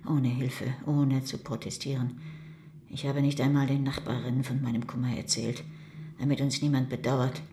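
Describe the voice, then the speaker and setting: A woman's voice: very deep voice, flat delivery, moderate pace, warm tone, a little hiss or hum. A woman playing a hardened older mother in a radio crime drama, speaking with cold, reproachful severity. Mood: bitter, self-pitying.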